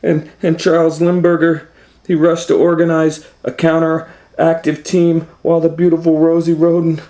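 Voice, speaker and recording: A man's voice: on the high side, dark tone. A man reading a children's story aloud as a storytime host, with theatrical, suspenseful dramatic narration.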